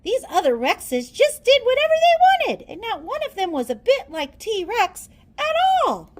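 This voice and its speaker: A woman reading a children's storybook aloud in a theatrical, deliberate storytelling manner. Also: very high-pitched, huge pitch swings, background noise. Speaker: storybook reader. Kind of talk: children's read-aloud narration.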